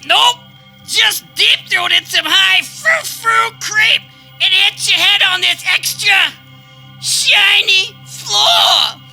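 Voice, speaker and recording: An actor playing the ghost of a brash, crude old diner aunt in a comedic radio play, with a creaky, strident, shouting delivery. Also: very high voice, extremely slow, soft background music, exaggerated voice. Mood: gleefully crude. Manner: loud, brassy, shouting.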